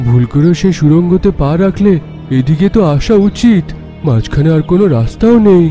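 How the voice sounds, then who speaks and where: Cartoon hero, voiced by a man: earnest, troubled young man, high pitch, very mellow dark tone, music underneath. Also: animated, normal speed, natural voice. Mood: puzzled. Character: determined young man desperately seeking his lost beloved. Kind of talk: animated horror cartoon acting.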